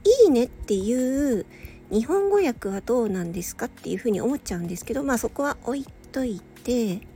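A woman with a high, lively, swooping voice that has some creak in it. She talks casually and solo to her listeners in a hesitant, meandering, giggly way, tired and a little slurred. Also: brisk. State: tired, slightly slurred speech at night. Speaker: audio channel host and hobby voice performer. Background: soft background music or ambience.

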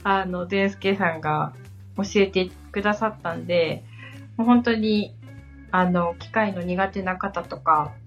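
A woman in her thirties chatting on a podcast as the assistant, polite, halting and reflective. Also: lowish voice. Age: 30s. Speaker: podcast assistant and guest learner. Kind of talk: casual podcast conversation. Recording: dull lo-fi sound with background music. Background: background music.

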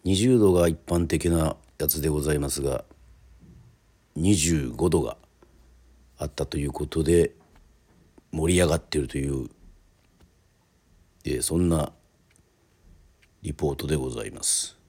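芝居がかったラジオドラマの語り口で、あらたまった口上のように話す男性のナレーター。. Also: male voice, very deep voice, warm tone, breathy edge. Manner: mock-formal, drawn-out announcer phrasing. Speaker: narrator, storyteller. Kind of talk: theatrical radio drama narration.

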